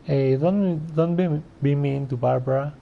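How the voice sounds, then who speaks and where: A man's voice: on the high side, measured pace, gravelly, muffled recording, noisy background. A man giving casual commentary while streaming a video game.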